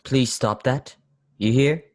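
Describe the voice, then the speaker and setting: A man playing a strange, pious and weary lodger in a radio play, solemn, deliberate and brusque. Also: medium pitch, no echo, clean studio sound, close to an everyday voice. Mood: stern, sharp. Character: strange, weary, severe pious lodger, brooding and easily angered. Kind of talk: old-time radio play acting.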